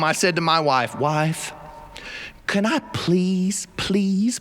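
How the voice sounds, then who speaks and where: Guy telling funny stories live, kinda high voice, really animated and folksy, pitch all over the place. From South Carolina. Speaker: storyteller. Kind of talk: live humorous storytelling to an audience.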